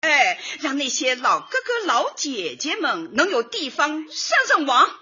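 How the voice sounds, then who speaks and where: High, bright, bubbly old granny. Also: female voice, huge pitch swings, lo-fi sound, heavily acted. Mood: earnest, cheerful. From Shanghai. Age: 60s. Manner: effusive, drawn-out, exclaiming. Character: cheerful chatty 68-year-old Shanghai grandmother, retired culture worker. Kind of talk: comic radio drama acting.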